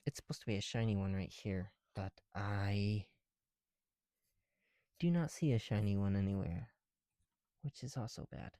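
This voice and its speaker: A man's voice: on the low side, normal speed, pauses often, dark tone, no room sound. A man doing casual ASMR commentary, muttering to himself close to the mic as he works through a hands-on task. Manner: talking to self, musing aloud. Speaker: ASMR video creator.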